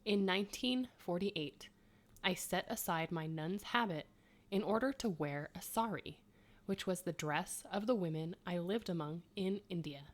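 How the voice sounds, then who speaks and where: A woman's voice: fairly low pitch, a little slow. A woman in her thirties co-hosting a podcast, reading quiz clues and chatting, laughing often. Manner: chatty, laughs often, self-mocking asides. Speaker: podcast co-host.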